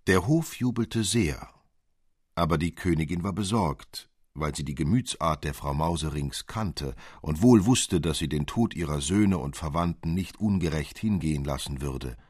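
A man narrating a literary fairy tale as an audiobook reader, articulate, dramatic and expressive. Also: extremely low pitch, normal speed, husky, no echo, clean studio sound.

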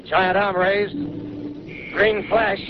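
Clipped army bomber pilot, loud engine noise. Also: male voice, high voice, level tone, very slow, dull audio. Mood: desperate. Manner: clipped, terse military reporting. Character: steady army bomber pilot commander radioing reports, growing desperate. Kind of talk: 1930s radio drama acting. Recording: noisy vintage radio recording, engine drone.

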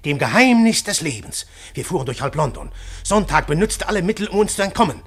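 An earnest, driven pursuer who also narrates a radio drama. His voice is fairly high and sharply bright, with intense, dramatic emphasis. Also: animated, brisk, husky, heavily acted. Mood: excited, suspenseful. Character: earnest, urgent pursuer narrating a dangerous manhunt. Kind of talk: German radio play acting and narration.

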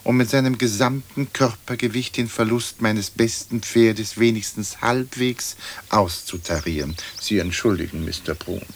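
Pompous low-voiced old lord. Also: male voice, moderate pace. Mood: haughty, narrating. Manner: pompous, formal. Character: haughty old English lord's ghost, verbose and aristocratic. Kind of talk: German radio play acting.

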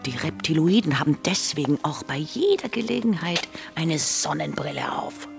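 A woman's voice: low voice, lively intonation, normal speed, gravelly, music in the background. An actress playing a stern, formal, commanding woman who believes in conspiracies, with an Austrian accent, in a radio drama. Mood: earnest, secretive. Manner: stern, formal, earnest, imperious. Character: commanding, conspiracy-believing wife leading a separatist group.

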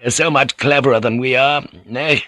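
A man's voice: medium pitch, fairly even intonation, normal speed, pushed and bright, no echo, studio quality, pitched above the performer's own voice, lightly acted. A man voicing an old warlord who talks bluntly, testily and wearily. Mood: gruff. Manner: blunt, testy, weary. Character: old male warlord, shrewd, gruff and testy. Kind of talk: audiobook character voice.

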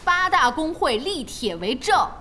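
A woman playing an eager, naive, excitable young female boss with an inquisitive, emphatic manner in an audio drama. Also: sits high, measured pace, exaggerated voice. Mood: excited. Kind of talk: Mandarin audio drama acting. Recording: studio drama with faint music.

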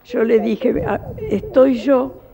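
A woman's voice: a little high, slow pace. An older Argentine woman giving personal testimony, retelling a conversation. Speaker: interviewee, mother recounting a call.

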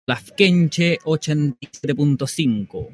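A man's voice: fairly high pitch, expressive, takes it slowly. A man hosting a community radio show, presenting segments and reading aloud. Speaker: radio host.

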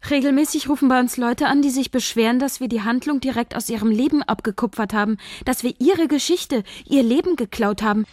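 An actress narrating as a shy young woman scriptwriter in a radio drama, quiet and wry. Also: sits high, a little fast, hardly any pauses, dry recording, clean studio sound, natural voice.

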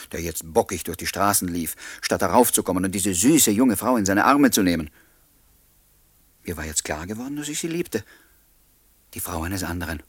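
A man's voice: sits low, husky, no echo. An actor playing an earnest, lovelorn writer who confides his story in a radio play. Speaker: narrator and lead character. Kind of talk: radio play, confiding first-person narration and dialogue.

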